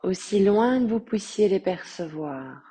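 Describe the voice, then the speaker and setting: A woman guiding a relaxation meditation as a yoga teacher, speaking softly and breathily in a calm, soothing, measured way with long pauses. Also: medium pitch, husky. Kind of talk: guided meditation.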